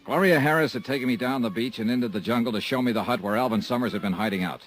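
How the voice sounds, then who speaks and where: A man's voice: medium pitch, racing, faint music behind, low-quality recording. A man narrating and acting a wisecracking insurance investigator in a 1950s radio drama, dry and hard-boiled. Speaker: lead actor and narrator.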